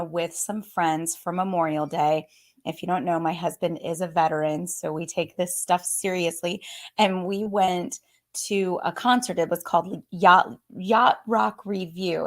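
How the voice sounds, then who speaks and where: A woman, a little hoarse, chatting brightly as host of a live stream for coaches. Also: on the low side. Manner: bubbly and chatty, says "y'all", laughs often. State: slightly hoarse. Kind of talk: casual live-stream talk.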